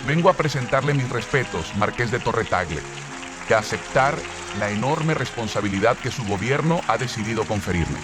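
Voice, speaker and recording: An actor as a solemn, dignified liberator. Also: male voice, mid-range voice, lively intonation, moderate pace, natural voice. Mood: solemn, respectful. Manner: formal, grave. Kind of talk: historical dramatization. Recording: prominent music behind the voice.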